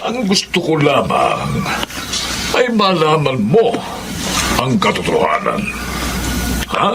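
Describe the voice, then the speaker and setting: Swooping, halting old Filipino grandfather. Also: mid-range voice, wildly swooping pitch, vocal fry, noisy background, heavily acted. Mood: solemn, earnest. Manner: halting, emphatic, peppered with interjections. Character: elderly Filipino grandfather, fond, patient but firm. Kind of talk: Tagalog radio drama acting.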